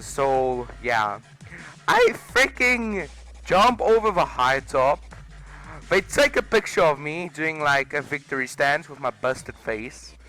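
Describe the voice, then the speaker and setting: Bright, higher-pitched young man. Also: poor audio. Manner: animated, exaggerated comic emphasis. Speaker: video creator. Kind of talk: casual personal storytelling. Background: music.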